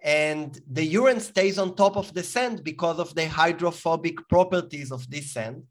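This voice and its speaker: A man from Israel with an Israeli accent, a company founder explaining his product as a guest in an interview, pausing with fillers. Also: a little high. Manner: explanatory, halting with fillers and restarts. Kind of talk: interview answers.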